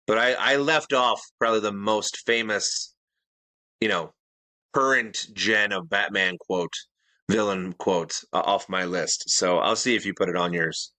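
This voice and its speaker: Bright-voiced man, defending his list. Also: fairly low pitch, measured pace, no echo. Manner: defensive, rambling, many restarts. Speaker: podcast co-host. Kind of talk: casual debate chat.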